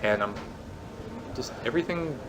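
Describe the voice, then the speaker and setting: A man's voice: animated, normal speed. A man speaking casually in a hesitant, rambling way about himself. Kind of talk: casual monologue. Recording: loud background noise, vehicle-like rumble.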